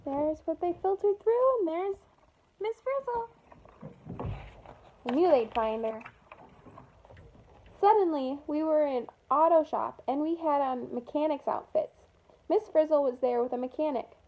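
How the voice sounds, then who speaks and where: A woman reading a picture book aloud to children in an expressive way, pausing to point out the pictures. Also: high-pitched, highs cut off, slight background noise. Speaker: storytime reader for children.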